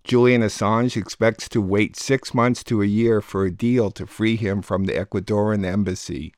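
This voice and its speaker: A man's voice: on the low side, steady tone, normal speed, a little mellow, no room sound, studio quality. A man hosting a radio program, reading scripted introductions and summaries of news reports.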